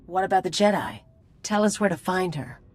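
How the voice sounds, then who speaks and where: A woman's voice: lowish voice, a little fast, natural voice. A woman playing a blunt, eager fighter companion in a video game, casual and cocky. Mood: urgent. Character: blunt, eager female mercenary companion, trigger-happy.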